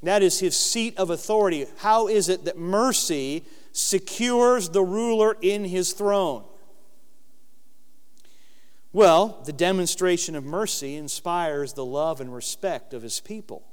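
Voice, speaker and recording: Loud guy preaching a bible lesson, smooth ringing voice, long reverb around him. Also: high-pitched. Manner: earnest, emphatic with slow stressed phrases. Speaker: Bible teacher. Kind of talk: sermon-style Bible lesson.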